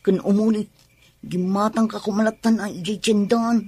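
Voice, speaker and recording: A woman's voice: medium pitch, steady tone, moderate pace, mellow, breathy edge. A woman playing an irritable, curt pregnant young wife in an Ilocano comedy radio drama, speaking with an Ilocano accent. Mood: irritated. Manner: grumbling, curt, complaining.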